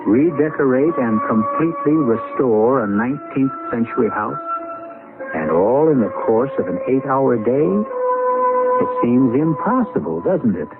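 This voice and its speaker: Male, theatrical seventies mystery narrator; animated, ominous phrasing; lo-fi radio broadcast, prominent music behind. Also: mid-range voice, measured pace. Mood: ominous, musing. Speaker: host narrator. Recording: band-limited old radio, loud music. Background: brass music.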